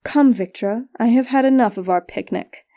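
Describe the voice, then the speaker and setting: A woman voicing a cool, merciless witch sister in a fantasy audiobook, speaking with smooth, deliberate condescension. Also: fairly high pitch, mellow, pitched above the performer's own voice, close to an everyday voice. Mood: cold, dismissive.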